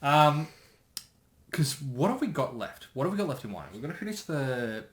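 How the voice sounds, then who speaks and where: A man co-hosting a casual reaction chat, speculating and thinking aloud in a halting way. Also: a little high, very animated, fairly bright tone. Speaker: co-host, anime specialist. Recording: roomy, echoey sound.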